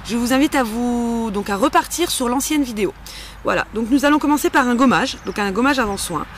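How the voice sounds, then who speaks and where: A woman's voice: on the high side, lively intonation, a little fast. Chatty woman giving a casual tutorial, noisy background. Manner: chatty, playful, informal. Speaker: host of a homemade beauty-care tutorial. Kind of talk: casual spoken step-by-step demonstration.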